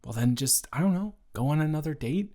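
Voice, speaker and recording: A man's voice: a little high, expressive, brisk, mellow, natural voice. A young man playing a nervous, joking roommate and close friend in an improvised audio roleplay, stammering and rambling hesitantly. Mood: awkward, surprised.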